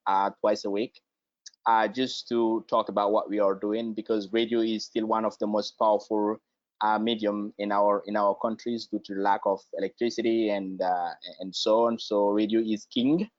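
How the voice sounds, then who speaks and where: A man from Guinea, a guest speaking in a halting way and often repeating words as he searches for them. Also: lowish voice, level tone, no room sound. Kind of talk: conversational interview. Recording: dry, slightly dull lo-fi sound.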